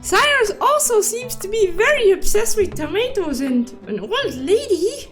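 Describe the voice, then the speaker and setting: A woman voicing a silly, excitable cute cartoon unicorn who narrates a comic story recap in a playful, exclaiming, mock-dramatic manner. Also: very high-pitched, unhurried, soft background music, full character voice. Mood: playful, gossipy.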